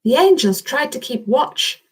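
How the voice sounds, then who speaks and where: A woman's voice: high-pitched, big pitch swings, unhurried, slight background noise. A woman reading a children's story expressively, speaking directly and encouragingly to young listeners.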